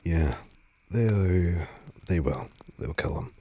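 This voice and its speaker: A man playing a laid-back, teasing, protective vampire on a date in an intimate audio roleplay, speaking casually with a husky, breathy voice. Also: low voice, animated, slow, warm tone, no echo, heavily acted. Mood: grave, candid.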